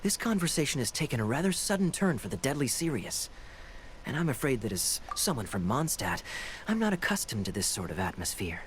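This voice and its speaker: A man playing a suave, teasing young knight in an anime game, with a smooth, playful, theatrical delivery. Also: mid-range voice, big pitch swings, fairly quick, a little hiss or hum, lightly acted. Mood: breezy, teasing.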